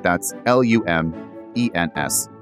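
A man's voice: deep voice, animated, a little slow, loud music behind the voice. A man hosting a podcast, moving between interviews and scripted narration in a polished, wryly phrased way. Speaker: podcast host.

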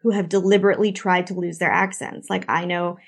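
A woman's voice: on the low side, level tone, fairly quick, vocal fry. A woman with a Canadian accent hosting a podcast discussion, chatty and prone to long tangents. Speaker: podcast host.

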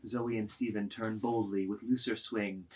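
A man's voice: lowish voice, fairly even intonation, normal speed, darkish tone, husky. A man reading scripted literary narration expressively, with rhythmic, emphatic delivery of lists of words.

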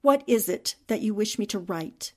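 A woman playing a defiant, sharp-witted young newspaperwoman held captive, speaking firmly and dramatically in old-time radio drama style. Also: mid-range voice, lively intonation, normal speed, close to an everyday voice. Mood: anguished, bitter.

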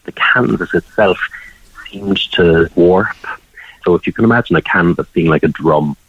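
A low-voiced man explains art in a measured, descriptive way for a radio interview. His husky voice comes over a dull, roomy remote feed. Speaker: art gallery guest describing a painting.

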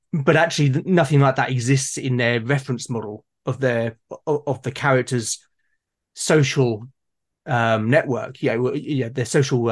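A man with an average-pitched voice talks in a casual, discursive way. His delivery is halting, and he often repeats a word while he works out the idea. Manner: halting, repeats words while forming ideas. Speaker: conversation partner. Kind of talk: casual discussion of role-playing games.

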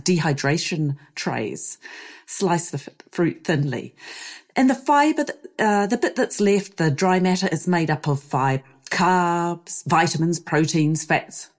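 A woman hosting a podcast, hoarse from losing her voice, explaining things in a lively, chatty way. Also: lowish voice. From New Zealand. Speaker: podcast host. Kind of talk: solo podcast chat.

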